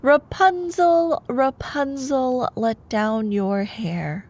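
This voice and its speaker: A woman's voice: high voice, extremely slow, darkish tone, exaggerated voice. A woman voicing a storybook witch who calls out in a commanding singsong. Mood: calling out.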